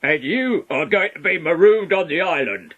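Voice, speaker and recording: A man playing a gruff dim-witted pirate, husky and slow, pitch jumping about. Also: animated, muffled recording. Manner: growling, loud.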